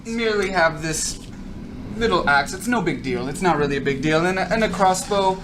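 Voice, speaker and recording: A man's voice: high-pitched, expressive, normal speed, noisy background. A man voicing a meek, self-deprecating, nervous adventurer who talks hesitantly and awkwardly in a tabletop game.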